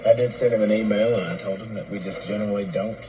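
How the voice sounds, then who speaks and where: A man hosting a casual radio station talk show, speaking in a measured, halting way. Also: on the low side, steady tone, dull audio. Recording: noisy shortwave radio broadcast.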